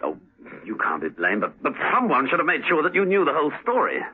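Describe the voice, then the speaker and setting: An actor playing a suave, probing British secret agent in a 1970s radio drama, crisp and authoritative. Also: male voice, on the high side, huge pitch swings, fast talker, exaggerated voice. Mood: indignant. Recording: muffled old radio broadcast recording.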